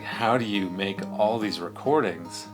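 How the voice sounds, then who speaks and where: Very low voiced middle-aged american guy, relaxed explaining, music playing under it. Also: a little slow. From United States. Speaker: platform developer, interviewee. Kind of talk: casual conversation. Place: recording studio control room.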